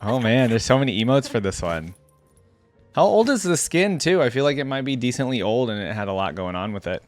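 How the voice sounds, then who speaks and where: A man's voice: mid-range voice, sing-song, brisk, faint music behind. A young man giving casual, laid-back reaction commentary, thinking aloud as host.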